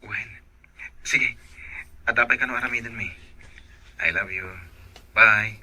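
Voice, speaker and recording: Casting: a tender migrant-worker husband, Filipino accent, piercing timbre, low register, radio drama. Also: male voice, a little slow, pushed and bright, heavily acted. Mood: apologetic, tender. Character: affectionate husband working abroad, phoning his wife. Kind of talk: Ilocano radio drama acting.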